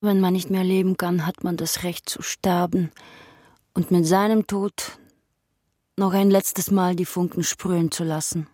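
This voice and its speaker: An actress playing a weary woman drifting away from her lover, speaking softly and intensely in a radio drama. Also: fairly low pitch, flat delivery, a little slow, dry recording, clean studio sound. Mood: brooding.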